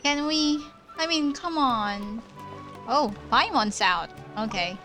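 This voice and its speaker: A woman playing a game on stream, reacting in a chatty, giggly way. She talks slowly in a fairly high voice. Game music plays faintly behind her. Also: animated, pushed and bright. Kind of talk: casual live reactions.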